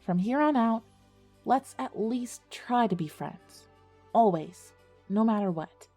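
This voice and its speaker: A woman's voice: a little high, lively intonation, slow pace, mellow, faint music behind. A woman playing an earnest teenage schoolgirl who narrates her own ghost story in an audio drama. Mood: sincere, hopeful. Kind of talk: scripted first-person narration and audio drama dialogue.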